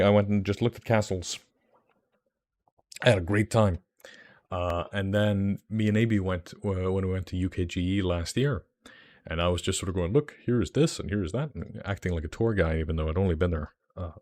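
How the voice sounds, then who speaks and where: Low-voiced Icelandic man chatting casually. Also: moderate pace, a little mellow. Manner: rambling, enthusiastic, many fillers and restarts. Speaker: streamer. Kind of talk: casual livestream chat.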